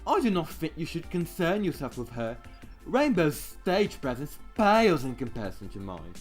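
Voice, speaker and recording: A woman's voice: low voice, very animated, normal speed, soft background music. A woman voicing a vain, grandiose cartoon unicorn diva who boasts in pompous, florid, theatrical phrases. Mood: haughty. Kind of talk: cartoon parody voice acting.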